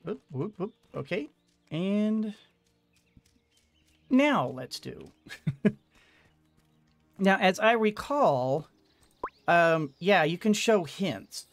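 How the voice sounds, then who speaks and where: A man's voice: high-pitched, very animated, very slow, long pauses, no echo, soft background music. A middle-aged man giving casual gameplay commentary, muttering to himself as he plays. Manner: muttering to self while playing. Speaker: game streamer. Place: indoor room at a desk.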